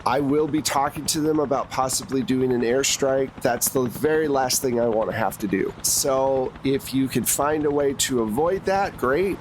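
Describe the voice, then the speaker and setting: A man's voice: medium pitch, moderate pace, hardly any pauses, slight background noise, rough recording. A man acting as game master, narrating a tabletop roleplaying game in a casual style.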